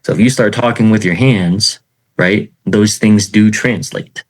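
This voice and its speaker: A middle-aged man gives a loose, unscripted sales lesson in a fairly low voice. He talks in an even, self-described monotone, stopping often to say "okay" and "right?" to his listeners. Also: unhurried, rough recording. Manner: self-described monotone, many fillers and "okay" check-ins. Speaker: sales trainer. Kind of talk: informal teaching talk.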